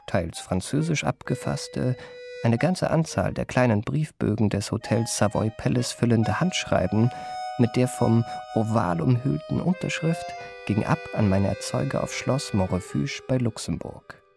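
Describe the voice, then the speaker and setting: An actor playing a self-satisfied gentleman impostor who narrates his memoirs in a radio play, in ornate, pompous phrasing. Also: mid-range voice, lively intonation, unhurried, gravelly, music in the background. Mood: pompous, pleased.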